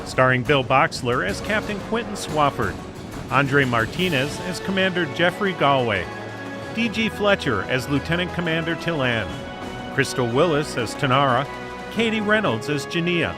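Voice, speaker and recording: Male announcer, fairly high voice, lively intonation, scripted audio drama intro, music behind. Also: normal speed.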